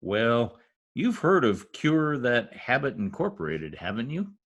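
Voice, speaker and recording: A man's voice: medium pitch, big pitch swings, measured pace. A man playing an eager, mischievous teenage schoolboy in an old-time radio comedy, with an earnest, gushing manner and flowery words. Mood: gleefully scheming.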